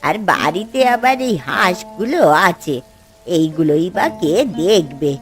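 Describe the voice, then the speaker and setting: A woman's voice: fairly low pitch, lively intonation, unhurried. Woman voicing a frail, caring old grandmother, music behind. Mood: plaintive, coaxing. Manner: fond, gently urging. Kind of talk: Bengali moral-story cartoon dubbing.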